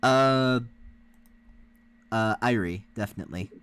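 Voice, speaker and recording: A man's voice: fairly low pitch, takes it slowly, fairly bright tone, clear, resonant tone. A man co-hosting a reaction livestream, chatting casually with the viewers. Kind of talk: casual chat with stream viewers.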